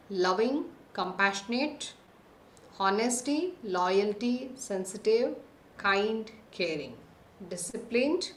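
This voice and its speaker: A woman's voice: mid-range voice, echoey room, a little hiss or hum. A woman hosting a live online parenting workshop on a video call, reading participants' answers from the chat and checking in with the class. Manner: reads lists of answers aloud, checks in with the class often. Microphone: computer mic on a video call.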